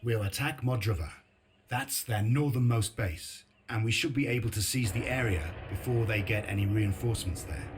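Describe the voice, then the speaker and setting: An actor playing a grim, sardonic resistance commander in a video game, with a blunt, dry, determined delivery. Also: male voice, lowish voice, normal speed, background noise, natural voice. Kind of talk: video game cutscene narration and briefing.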